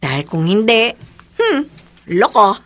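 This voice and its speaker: An actress playing a middle-aged aunt who teases and playfully scolds in a radio drama. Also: female voice, mid-range voice, huge pitch swings, measured pace, a bit bright, no echo, full character voice. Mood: teasing. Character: teasing aunt, playfully scolding her nephew.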